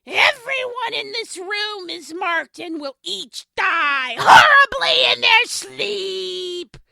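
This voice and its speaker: A woman's voice: very high voice, animated, slow pace, creaky, sharp tone, a little hiss or hum, heavily acted. A woman playing an ancient, vengeful hag demon in a supernatural audio drama, speaking with haughty, archaic menace. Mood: furious threat.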